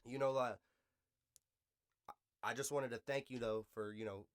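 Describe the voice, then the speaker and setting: A man's voice: mid-range voice, steady tone, normal speed, no room sound, studio quality. An American man hosting a podcast in casual, slangy, profanity-sprinkled conversation, giving his opinions in a loose, unscripted way. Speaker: podcast host.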